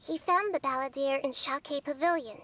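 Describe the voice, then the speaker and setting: A gentle, wise young goddess. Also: female voice, high voice, moderate pace. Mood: explaining. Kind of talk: game character dialogue.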